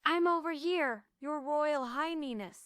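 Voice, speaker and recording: A woman's voice: sits high, takes it slowly, fairly bright tone, no room sound, clean studio sound. A woman playing a sarcastic, wounded, headstrong heroine with a dramatic delivery at a stage script table read.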